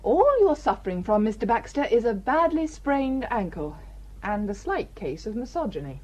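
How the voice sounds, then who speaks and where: A British actress playing a brisk, unflappable village doctor in a radio drama, wry and matter-of-fact. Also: female voice, a little high, very animated, mellow.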